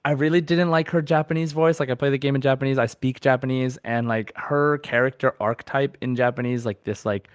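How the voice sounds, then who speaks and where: A man's voice: mid-range voice, fairly even intonation, fairly quick, hardly any pauses, clear, resonant tone, no echo, clean studio sound. A man hosting a gaming podcast, chatting casually and informally with a fan's enthusiasm. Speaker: podcast host.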